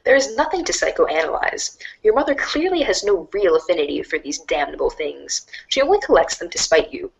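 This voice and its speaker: A woman voicing a wry, mock-grandiose storybook narrator in a dramatic read-along style, deadpan and sardonic. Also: lowish voice, fairly quick, creaky, few pauses, lo-fi sound. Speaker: narrator of a fan readers' theater show.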